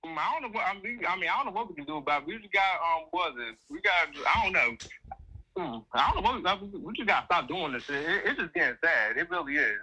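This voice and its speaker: Male caller, husky yet high, quick, casual, unsure of himself. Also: animated, bright, forceful tone, rough recording. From Chicago, United States. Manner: hesitant, self-effacing, repeats himself. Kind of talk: casual chat on a call-in show.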